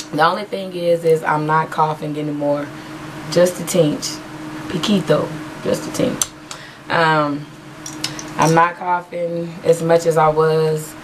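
Hoarse low-voiced woman, chatty webcam vlog. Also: background noise. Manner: chatty, rambling with many fillers. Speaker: beauty vlogger. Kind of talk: casual haul video chat. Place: small room at home, patterned backdrop. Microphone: webcam in front of her.

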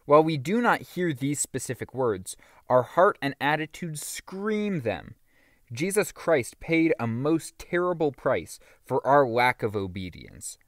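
Expressive man reading a religious audiobook. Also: mid-range voice, no echo, clean studio sound. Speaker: audiobook narrator. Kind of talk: scripted audiobook reading of a religious teaching book.

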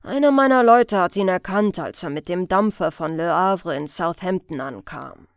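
A woman voicing a sly, sardonic male police chief inspector in an audiobook, speaking in a deliberate, thoughtful manner. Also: fairly low pitch, expressive, clear, resonant tone, no room sound, clean studio sound, pitched below the performer's own voice. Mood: matter-of-fact.

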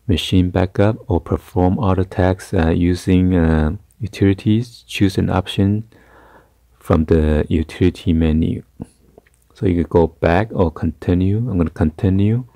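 A man giving a casual hands-on tech tutorial in a halting, hesitant way. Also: low voice, steady tone, vocal fry, warm tone, no echo, studio quality. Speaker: tutorial host. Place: carpeted room indoors.

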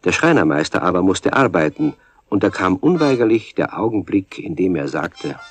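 A man narrating a scripted children's radio play in a husky voice, as a storyteller. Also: deep voice, big pitch swings, moderate pace.

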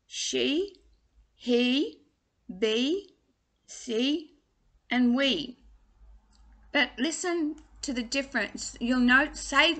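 High-voiced older Australian woman teaching vowels. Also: fairly bright tone. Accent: Australian with a trace of English. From England, raised in Australia. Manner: slow, deliberate enunciation of vowel words. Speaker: singing teacher. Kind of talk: home video singing lesson. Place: small room at home, indoors.

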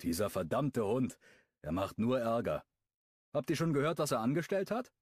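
A man's voice: medium pitch, lively intonation, moderate pace, darkish tone, husky, no echo, pitched above the performer's own voice. A man voicing an exasperated young man who grumbles about his unruly dog.

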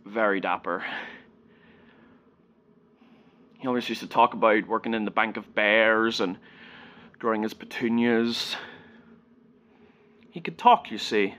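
A man performing a dramatic monologue as a grown son, wistful and halting as he recalls his childhood. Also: lowish voice, fairly bright tone. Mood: fond, wistful. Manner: halting, subdued, reflective.

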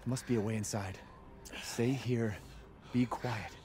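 An actor playing a wary outsider man who speaks low and guarded, with dry humor. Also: fairly even intonation, measured pace, background noise, natural voice.

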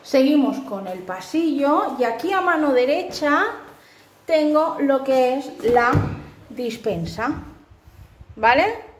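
A woman from Spain giving a casual, homely tour of her small flat, filming on a handheld phone, often apologizing and checking in with "okay?" as she goes. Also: sits high, slow, long pauses, slight background noise. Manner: homely, apologetic, lots of fillers and "¿vale?". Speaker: vlogger giving a house tour. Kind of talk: casual chatty home tour. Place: small dim flat with tiled kitchen and bathroom, indoors. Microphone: handheld phone camera. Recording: echoey tiled rooms.

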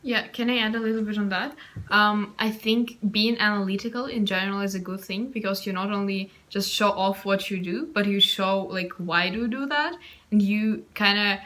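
A woman's voice: mid-range voice, fairly even intonation, moderate pace, fairly bright tone. A young woman from Russia hosting a casual chat on her channel, recorded on a laptop in a small room at home. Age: young adult. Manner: chatty, explains with hand gestures. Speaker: channel host.

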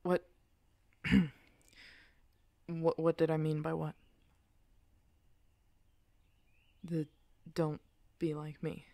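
A woman playing a guarded, protective lone werewolf in an intimate ASMR audio roleplay, earnest and halting. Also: low-pitched, completely flat delivery, vocal fry, dark tone, no echo, full character voice. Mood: soft, worried. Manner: earnest, halting, weary sighs.

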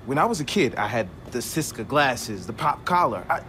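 An upbeat young hacker in a video game, laid-back and slangy. His voice sits at a middle pitch, with clear ambient noise behind him. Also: animated, moderate pace, sharp tone. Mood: pleading, nostalgic. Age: young adult. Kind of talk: video game cutscene acting. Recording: noisy ambient game scene.

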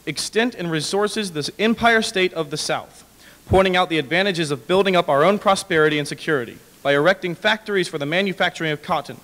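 A man's voice: on the high side, a bit bright. A young adult man reading historical documents aloud as formal, proclaiming oratory. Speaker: dramatic reader. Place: large brick-walled hall with stage, indoors. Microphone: podium microphone. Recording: echoey hall with long reverb.

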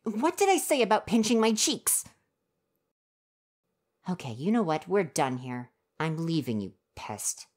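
Low-pitched, flustered young ninja boy for an ASMR roleplay, played by a woman; prickly and defensive, soft-hearted underneath. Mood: flustered, huffy.

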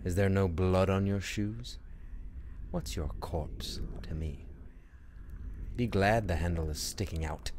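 Radio drama: male actor as tormented, sardonic prince, deep-voiced, bitterly theatrical, clearly noisy recording with ambience. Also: slow pace, long pauses, a little mellow. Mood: contemptuous, weary. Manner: brooding, dramatic, sardonic, slipping into whispers and outbursts. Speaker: performer in a student radio play. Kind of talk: theatrical radio-play monologue.

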